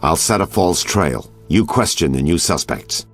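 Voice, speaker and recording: An actor playing an anxious, hunted detective in a video game, with urgent, clipped delivery. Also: male voice, deep voice, animated, unhurried, breathy edge, faint music behind, heavily acted. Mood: tense, urgent.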